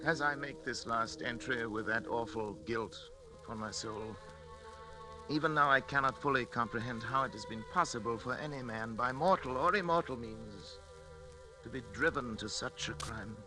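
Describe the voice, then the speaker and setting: A man's voice: medium pitch, moderate pace, natural voice. A man acting a middle-aged, guilt-ridden scientist father in a 1940s radio drama, speaking in a grave, formal, melodramatic manner. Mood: haunted, grave. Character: guilt-ridden, obsessed scientist father confessing his crime. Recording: old radio recording with music.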